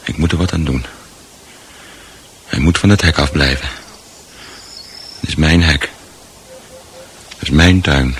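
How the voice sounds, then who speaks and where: An actor playing a resentful, possessive husband muttering to himself in a radio drama, with a gravelly voice. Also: male voice, extremely low pitch, a little slow, long pauses, fairly bright tone, a little hiss or hum. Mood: bitter, resentful. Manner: grumbling, muttering.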